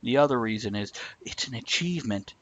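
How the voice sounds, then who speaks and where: Through muffled, low-fidelity livestream audio comes a man hosting a casual show. He talks informally, mixing wry jokes with plain walk-through explanations. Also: expressive, fairly quick, a bit bright. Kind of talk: casual joking banter and step-by-step explaining.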